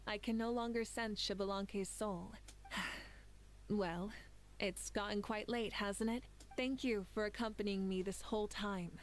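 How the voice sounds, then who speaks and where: A woman's voice: mid-range voice, steady tone, unhurried, a little hiss or hum, close to an everyday voice. A woman voicing a confident, kind female leader in a game, speaking with easy assurance. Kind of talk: game cutscene voice acting. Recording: game audio with music underneath.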